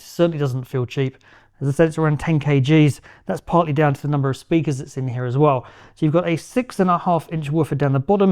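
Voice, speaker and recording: A man with a slightly high voice walks through a gadget review in a chatty, easygoing way. He sounds upbeat and relaxed as he explains each feature. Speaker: tech reviewer. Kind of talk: product review walkthrough.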